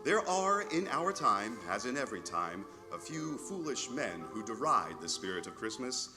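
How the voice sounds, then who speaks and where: Male announcer, played by an actor: earnest vintage radio host, very expressive, music behind. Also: mid-range voice, normal speed, natural voice. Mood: solemn, heartfelt. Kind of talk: 1940s radio announcing, scripted.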